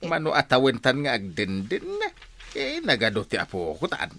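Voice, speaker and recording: A man's voice: mid-range voice, huge pitch swings, moderate pace, gravelly, bright, forceful tone, full character voice. A man playing a good-humored, grumbling husband in an Ilocano radio drama, with an Ilocano accent and hesitant, exclamatory delivery.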